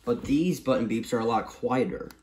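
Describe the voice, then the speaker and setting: A man giving a casual, unscripted review in a halting, repetitive and emphatic way, recorded in a room at home. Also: mid-range voice, a little mellow, a little hiss or hum. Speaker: vlogger reviewing gadgets. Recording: roomy, echoey home recording.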